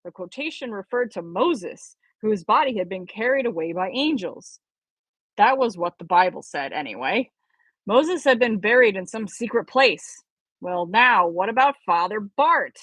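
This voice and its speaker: A woman's voice: mid-range voice, lively intonation, a little slow, a bit bright, slightly rough, dry recording. A woman reading a story aloud expressively as an amateur audiobook reader.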